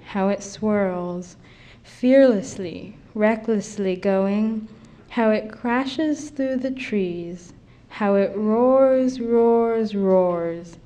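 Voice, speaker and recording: A young teenage girl reciting her own poem in a deliberate manner. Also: medium pitch, dark tone. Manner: deliberate, repeats words for effect. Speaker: student poet reading own poem. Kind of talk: poetry recitation.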